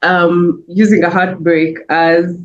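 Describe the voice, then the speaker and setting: A woman's voice: lowish voice, little pitch movement, slow pace, dull audio. A woman hosting an interview, asking questions in an encouraging way and laughing along. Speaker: interview host. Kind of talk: casual interview.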